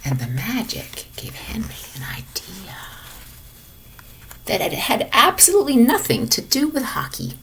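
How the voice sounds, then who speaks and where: A woman reading a children's picture book aloud in an expressive way, as if to young listeners in a lesson. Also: on the high side, a little slow, gravelly, in a whisper, low-quality recording. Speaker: storybook reader for a lesson.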